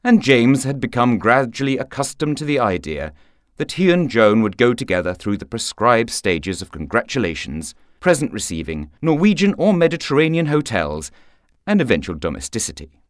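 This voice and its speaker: A male narrator delivers scripted literary prose as a radio storyteller. His mid-pitched voice swings expressively with a theatrical flair. The sound is dry, with no room around it. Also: very animated, normal speed, dry recording.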